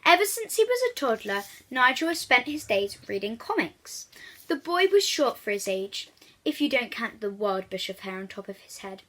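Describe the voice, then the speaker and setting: A woman reads a children's storybook aloud in a high, very bright voice. She is animated and expressive, talking directly to young listeners as she goes. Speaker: children's book reader.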